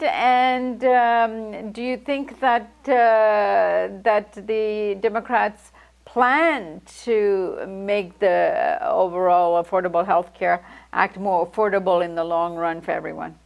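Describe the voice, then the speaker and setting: A middle-aged woman anchors from a news studio. She asks her prepared questions steadily, in a voice of medium pitch. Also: gravelly.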